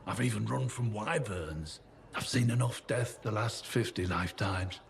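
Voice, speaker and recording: An actor playing a weathered, boastful yet haunted old survivor in a video game, with a folksy, plain-spoken manner. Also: male voice, on the low side, normal speed. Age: older. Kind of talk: video game dialogue acting. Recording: clear ambient noise behind the voice.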